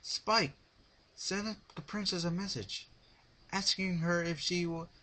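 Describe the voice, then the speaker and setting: A man's voice: sits high, slow, sharp tone, voice pushed up, full character voice. A man voicing an exhausted, bossy female magic pony in a dramatic story reading. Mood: frustrated, urgent. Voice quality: tired, slurred.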